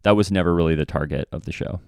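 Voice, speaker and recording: A man's voice: low voice, quick pace, gravelly, a little mellow, clean tone, dry recording, studio quality. A man talking casually and reflectively as a guest in an interview, often acting out bits of remembered dialogue. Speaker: podcast guest, improv comedian. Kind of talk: casual conversational interview talk.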